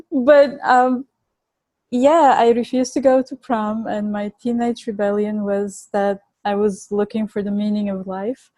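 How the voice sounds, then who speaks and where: A woman's voice: mid-range voice, mellow, no room sound. A woman in her forties with a Bulgarian accent, a podcast guest telling her story in an earnest way, laughing easily and restarting words. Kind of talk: conversational storytelling.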